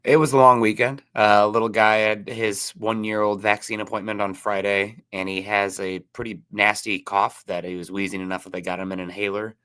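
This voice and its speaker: A man's voice: fairly low pitch, level tone, normal speed, few pauses, fairly bright tone, clear, resonant tone, no room sound. A man with a sore throat and a stuffed-up nose, co-hosting a podcast in casual, rambling chat. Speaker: podcast co-host.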